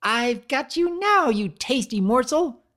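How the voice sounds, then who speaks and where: Loud, squeaky, hyper cartoon pony girl. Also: expressive, slow, exaggerated voice. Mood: maniacal, menacing. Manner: loud, exaggerated, patronizing. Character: hyper, impatient female cartoon pony baker.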